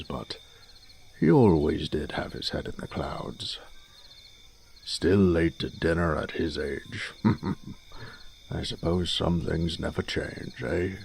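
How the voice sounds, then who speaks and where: A man acts out an older noble father in a fantasy audio drama, avuncular and dignified. The voice is rumbling and very low, gravelly and unhurried. Faint cricket-like noise runs behind it. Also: darkish tone, full character voice. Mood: fondly amused. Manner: stately, avuncular, measured.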